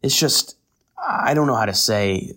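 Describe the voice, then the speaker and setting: A man voicing a hesitant, helpful robot vacuum cleaner in a children's audio drama, speaking in a halting way. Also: medium pitch, very animated, pushed and bright, full character voice. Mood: hesitant, upset.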